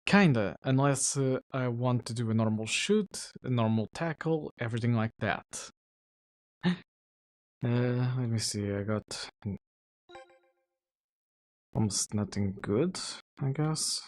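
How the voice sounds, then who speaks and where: A man streaming a video game, chatting casually to viewers in a relaxed, rambling way full of fillers. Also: mid-range voice, slow pace, long pauses, dry recording. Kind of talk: casual gameplay commentary.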